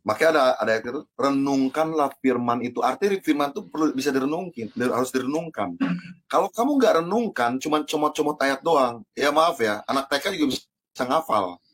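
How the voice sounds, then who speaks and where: A man, a pastor admonishing and instructing on scripture in an informal debate, quick to cut in. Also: medium pitch, expressive, breathy edge. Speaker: pastor on a panel.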